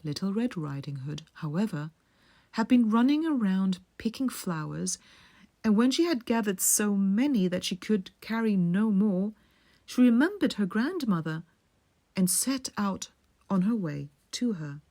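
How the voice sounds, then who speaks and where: A woman storyteller narrating a fairy tale for sleep in a soothing, measured way, often hushing the ends of phrases. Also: medium pitch, animated. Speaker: bedtime storyteller.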